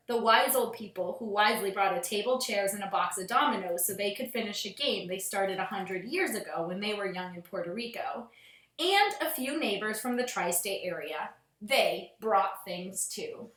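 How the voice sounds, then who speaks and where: Bright-voiced woman reading to children, roomy sound. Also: mid-range voice, expressive, normal speed. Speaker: schoolteacher reading aloud to pupils. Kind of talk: children's storybook read-aloud.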